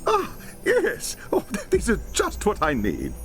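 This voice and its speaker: Nervous giggly scholar, high voice, very lively, video game. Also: male voice, slow pace, faint music behind, full character voice. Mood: excited. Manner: excitable, giggly, hesitant with ums and nervous laughs. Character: eager, nervous male scholar deciphering an ancient map. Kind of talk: video game cutscene voice acting.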